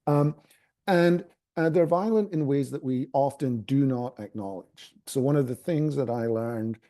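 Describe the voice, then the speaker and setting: A man with a Scottish accent speaking on an academic panel, pausing often with fillers and restarts. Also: medium pitch, mellow. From Scotland. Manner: halting, many fillers and restarts.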